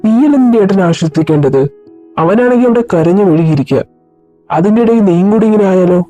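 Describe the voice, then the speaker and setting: A woman voicing a steady male friend and officer who gives firm orders and comforts others in an audio drama. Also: medium pitch, fast talker, muffled recording, faint music behind, natural voice. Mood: comforting teasing. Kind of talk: amateur audio drama voice acting.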